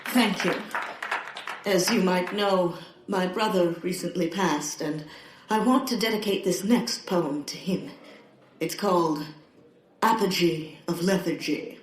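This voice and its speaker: A prim, earnest poet, voiced by a woman in a low, unhurried, formal voice. The sound is roomy and echoey, with soft music under it. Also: close to an everyday voice. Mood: solemn. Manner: formal, composed. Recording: roomy echo.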